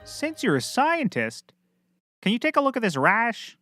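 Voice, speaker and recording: A man's voice: very high voice, wildly swooping pitch, unhurried, sharp tone, soft background music, heavily acted. A man playing a cocky, clueless small-time private eye in a scripted comedy, glib and rambling. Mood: glib, excitable. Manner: glib, rambling, self-assured.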